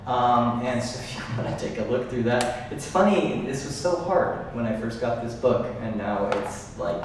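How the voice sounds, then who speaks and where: A middle-aged man speaking as a panelist, reflective and halting with many fillers. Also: fairly low pitch. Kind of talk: panel talk to a live audience. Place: large room at a live event. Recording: echoey hall, speaking from far off, noisy.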